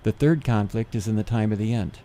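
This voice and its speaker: Mellow man explaining steadily. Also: lowish voice, fairly quick. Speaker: seminar speaker. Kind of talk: radio interview guest explaining prophecy.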